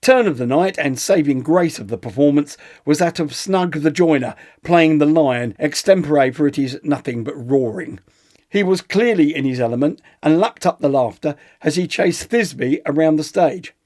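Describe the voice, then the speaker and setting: A man's voice: fairly high pitch, lively intonation, moderate pace, a little mellow, close to an everyday voice. A man playing a pompous, withering theatre critic, reading a scripted comic review in an arch, theatrical manner. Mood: arch disdain.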